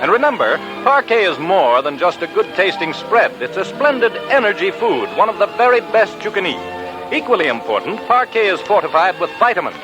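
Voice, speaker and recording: A man's voice: sits high, big pitch swings, fairly quick, low-quality recording. A man announcing on 1940s radio with a polished, emphatic sales delivery. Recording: old 1940s radio broadcast recording. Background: music.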